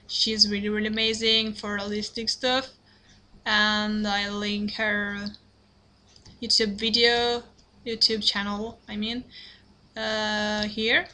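A young woman from Italy with an Italian accent, talking through a drawing tutorial in halting English, apologetic and full of fillers and restarts. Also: medium pitch, little pitch movement, bright, forceful tone. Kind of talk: hesitant screen-recorded drawing tutorial. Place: at a computer, indoors.

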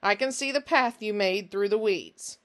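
A woman voicing a large, menacing stranger who puts on a false friendliness and talks loudly and mockingly. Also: a little high, lively intonation, unhurried, voice pushed up. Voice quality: intelligent but unfriendly voice. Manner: loud, projecting, mocking.

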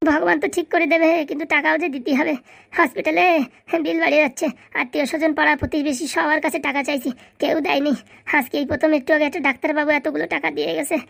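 A crafty beggar wife from a comedy cartoon, very high and quick, with a gravelly creak. Her speech is theatrical and full of put-upon lament and sly cunning. The sound is close and dry. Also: fairly even intonation, a bit bright, no room sound. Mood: wailing, mournful. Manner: melodramatic wailing, drawn-out lamenting, gloating asides. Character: scheming fake beggar wife, wailing over sick husband.

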